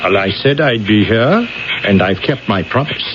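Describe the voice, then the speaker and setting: A man's voice: fairly low pitch, expressive, normal speed, close to an everyday voice. A man acting a cool, businesslike shady accomplice in a 1970s radio drama. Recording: muffled old radio broadcast, loud noise behind.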